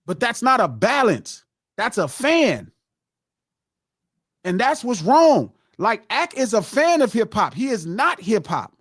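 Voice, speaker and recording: A man's voice: very high-pitched, very animated, unhurried, fairly bright tone, slightly rough, no room sound, clean studio sound. A man hosting a podcast in a heated, casual debate, preaching his point emphatically and repeating himself for effect. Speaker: podcast host.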